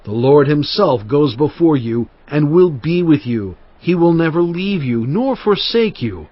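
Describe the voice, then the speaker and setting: A man's voice: on the high side, sing-song, unhurried, dry recording. An actor playing an aged prophet and leader, solemn and fervent, addressing his people in a dramatized scripture reading. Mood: encouraging, firm. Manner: solemn, emphatic oratory. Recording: muffled audio with music underneath.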